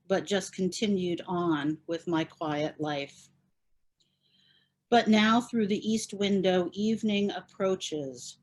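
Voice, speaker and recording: Woman, lowish register, very unhurried and measured, poetry-tribute delivery over video call. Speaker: poet reading at a tribute event. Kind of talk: heartfelt tribute and poetry reading.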